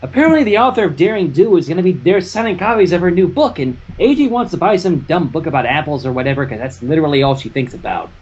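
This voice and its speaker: A brash, laid-back pegasus girl from a cartoon fan story , as a reader puts them on. The talk is slangy and teasing, in a husky, high-set, lively voice. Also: fast talker, hardly any pauses, highs cut off. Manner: slangy, blunt, casual.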